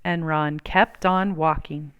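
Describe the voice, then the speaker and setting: A woman reading a children's storybook aloud in an expressive, deliberate storytelling manner. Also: low-pitched, clean tone, dull audio. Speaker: storybook reader.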